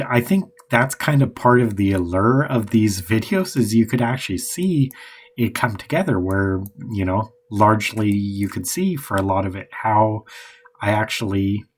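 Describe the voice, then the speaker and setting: Man, hoarse, very deep voice, raspy, hesitant rambling, writer thinking aloud. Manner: hesitant and rambling, many pauses and restarts. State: hoarse, losing his voice. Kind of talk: thinking aloud while outlining a novel.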